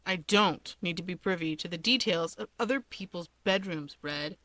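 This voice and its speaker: Grumpy protective big brother for an audiobook , read by a woman: haughty, blunt, bright dry recording. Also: on the low side, normal speed, no echo.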